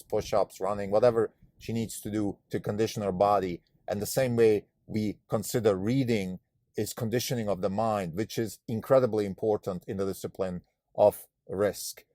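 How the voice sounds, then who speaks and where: A man's voice: fairly low pitch, dark tone, no room sound, clean studio sound. A Swiss-born man whose first language is German, hosting a solo podcast and talking thoughtfully with frequent fillers and restarts. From Switzerland. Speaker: podcast host and fund manager.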